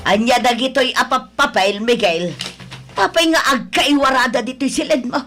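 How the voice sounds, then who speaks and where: A woman's voice: high-pitched, normal speed, fairly bright tone, soft background music. A woman playing a nagging, disapproving older mother of a grown son in an Ilocano radio drama, scolding and complaining. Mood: scolding, exasperated.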